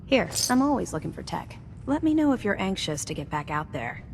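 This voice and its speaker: An actress playing a brisk, businesslike military record keeper in a video game, in a reverberant space. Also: female voice, mid-range voice, very animated, a little hiss or hum. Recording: long hall reverb, loud music.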